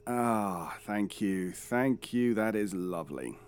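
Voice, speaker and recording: An actor playing a polite, smooth-talking fixer in an audio comedy drama. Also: male voice, fairly low pitch, expressive, takes it slowly, noisy background. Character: suave, courteous male fixer posing as publisher's agent. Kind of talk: audio fiction comedy acting.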